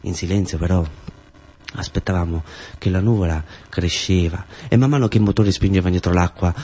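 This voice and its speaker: A man's voice: deep voice, a little fast, mellow. A man with a southern Italian accent telling a first-person theatre story in short phrases with incantatory repetition. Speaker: actor-storyteller.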